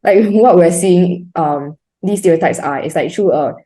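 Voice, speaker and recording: A young woman speaks with a Singaporean English accent in a relaxed interview. Her voice sits low and mellow, and she rambles casually with plenty of "like" fillers. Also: moderate pace. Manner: chatty, many "like" fillers, light laughs.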